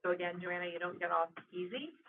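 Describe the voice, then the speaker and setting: A meeting chair presiding in a brisk, firm manner, calling the roll and moving the agenda. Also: mid-range voice. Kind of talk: presiding over a public meeting. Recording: tinny phone-like meeting audio with faint hiss.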